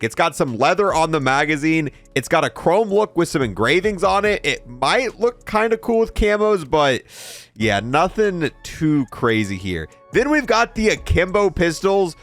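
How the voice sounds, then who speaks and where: Male gaming host, fairly high voice, very lively intonation, casual commentary that thinks aloud. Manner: announcing each item, thinking aloud while testing. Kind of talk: casual game bundle review commentary.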